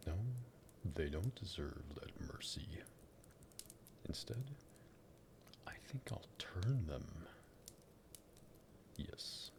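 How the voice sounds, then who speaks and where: A man playing a sadistic, possessive vampire master in an ASMR roleplay, in a breathy, gravelly whisper with a teasing, condescending drawl. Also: very deep voice, expressive, extremely slow, pauses often, heavily acted. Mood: menacing. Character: sadistic vampire master, possessive and menacing, toying with captive lover.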